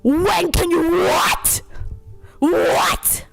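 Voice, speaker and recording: A woman voicing a loud, domineering wicked stepmother in a storybook, yelling with scorn. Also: very high voice, steady tone, drawn-out words, vocal fry, faint music behind, pitched above the performer's own voice, exaggerated voice. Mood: scornful, angry.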